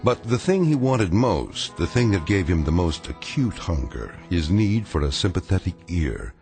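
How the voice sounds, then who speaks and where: Omniscient radio drama narrator, portentous and grave, animated low delivery with prominent music. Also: male voice. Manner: measured, portentous. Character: grave omniscient male narrator of eerie tales.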